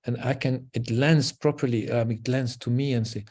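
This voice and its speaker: A man speaking gently and slowly as a parenting coach in a webinar talk over a video call, often repeating words softly. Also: medium pitch, fairly even intonation.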